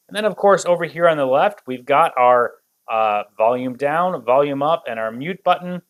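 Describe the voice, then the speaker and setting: A man reviewing a product in an unscripted walkthrough, explaining things step by step. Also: mid-range voice.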